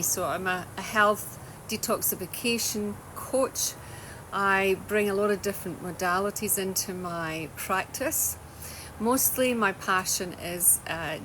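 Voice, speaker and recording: A woman's voice: medium pitch, noisy background. A woman with a Scottish accent, a wellness coach talking casually on a livestream, loose and chatty and often restarting her sentences. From Scotland. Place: home in Australia.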